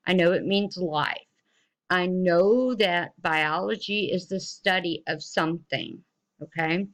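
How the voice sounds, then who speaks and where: A woman's voice: fairly low pitch, slow pace, fairly bright tone. A woman giving an instructional lesson as a teacher, explaining things informally and encouragingly to students.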